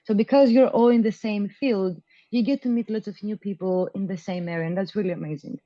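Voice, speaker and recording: Young woman chatting on a video call, mellow voice, bit dull and dry. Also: mid-range voice, brisk, no echo. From Greece. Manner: loose and hesitant, many fillers and restarts. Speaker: program graduate guest. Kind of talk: casual interview answers.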